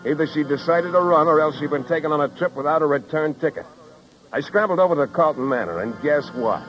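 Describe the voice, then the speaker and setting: An actor playing a wry, tough, world-weary private detective in a 1950s radio drama, with slangy, wisecracking delivery and narration. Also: male voice, a little high, lively intonation, fast talker, soft background music, natural voice. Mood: brooding, wry. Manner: hard-boiled, wisecracking, slangy. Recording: dull vintage 1950s radio recording.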